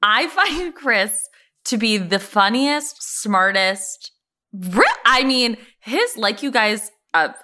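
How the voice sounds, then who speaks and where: A woman's voice: fairly high pitch, animated, slow, sharp tone. A woman co-hosting a podcast in casual, emphatic banter, repeating words to drive her point home. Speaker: podcast co-host. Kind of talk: casual banter about a TV show.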